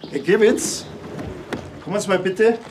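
An actor playing a dry, sardonic middle-aged village cop in a TV crime comedy, laconic and deadpan, with a Bavarian accent. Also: male voice, high-pitched, a little slow, background noise. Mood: businesslike.

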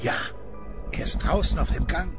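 An actor playing an anxious, alarmed man in a horror radio drama, halting and pleading in manner. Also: on the high side, music in the background, natural voice. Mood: frightened. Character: anxious, alarmed man, devoted dog owner.

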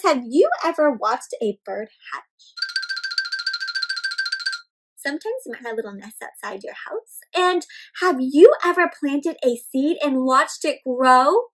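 Very high-voiced young woman, slow storybook reader. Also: low-quality recording. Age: young adult. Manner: animated, questioning, addressing young viewers as friends; exaggerated expressive delivery with gasps and stretched words. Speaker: children's read-aloud storyteller. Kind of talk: interactive picture-book read-aloud for young children. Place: indoor room with houseplants.

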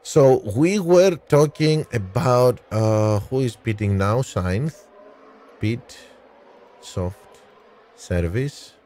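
A man in his thirties streaming a racing game live, calling strategy and answering his chat by name with frequent pauses, on a headset mic in a small room. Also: medium pitch, mellow. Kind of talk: live gameplay commentary and chat replies.

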